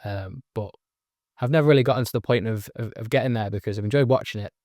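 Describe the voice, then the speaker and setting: A man's voice: fairly low pitch, fast, darkish tone, no room sound, studio quality. A man from northern England hosting an interview, asking questions in a casual, hesitant way with many fillers. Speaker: interviewer, host.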